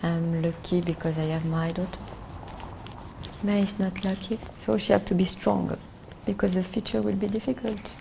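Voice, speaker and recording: A middle-aged woman from France with a French accent, speaking English as a second language in a reflective interview, halting and searching for words. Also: mid-range voice, steady tone, gravelly, background noise. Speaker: documentary interviewee.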